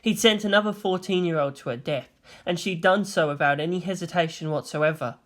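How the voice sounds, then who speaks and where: A storyteller reading dramatic scripted fiction in a solemn, expressive way. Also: medium pitch, a little fast. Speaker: fan fiction narrator.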